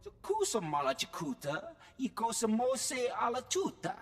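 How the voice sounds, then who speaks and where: An actor playing a belligerent alien pirate brawler who growls in a made-up tongue for a video game cutscene. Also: male voice, very high-pitched, wildly swooping pitch, normal speed, husky, soft background music, exaggerated voice. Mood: menacing.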